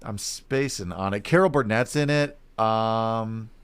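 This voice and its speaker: A man co-hosting a podcast in casual chat, often halting as he searches aloud for words. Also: fairly low pitch, clean tone. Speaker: podcast co-host.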